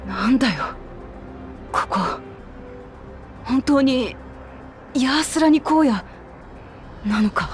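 A woman's voice: high-pitched, expressive, a little slow, pauses often, soft background music. A woman playing a blunt, tomboyish young adventurer in a video game cutscene, bewildered. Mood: stunned disbelief.

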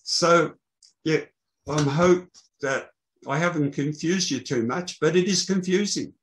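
A man's voice: a little high, unhurried, slight background noise. Older man giving a technical talk. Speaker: presenter, loudspeaker engineer. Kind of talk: technical presentation to an online meeting. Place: small indoor room, online video call. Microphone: video call microphone.

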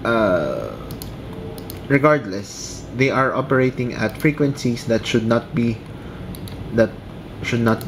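A man's voice: mid-range voice, animated, slow pace, long pauses, noisy background. A man with a Filipino accent giving casual, unscripted commentary in a hesitant, rambling way. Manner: hesitant, rambling, trailing off mid-thought. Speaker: amateur radio operator and video commentator.

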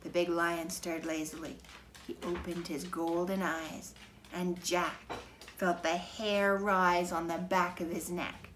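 A middle-aged woman reads a children's story aloud in a fairly low voice. Her telling is measured and dramatic, recorded in a living room with clear background noise. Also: little pitch movement, a bit bright. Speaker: storybook reader. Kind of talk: expressive children's book narration. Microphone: camera a few feet away.

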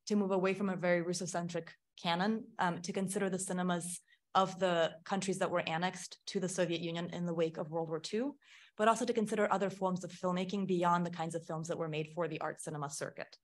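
A woman's voice: on the low side, steady tone, fast. A middle-aged woman from Ukraine, a film scholar giving an academic panel talk in a lecture hall, thoughtful and full of small self-corrections.

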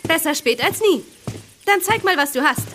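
An actress playing a bossy, critical young woman with a husky voice in an audio drama. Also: very high-pitched, animated, moderate pace, fairly bright tone, soft background music. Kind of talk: German children's audio drama acting.

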